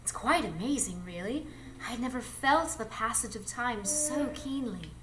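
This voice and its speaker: A woman playing an alluring, ageless, mysterious adventuress in a live radio drama, speaking in a measured, coy, theatrical way. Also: fairly high pitch, animated, fairly bright tone. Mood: coy, teasing. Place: live performance space before an audience. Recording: noisy live stage recording.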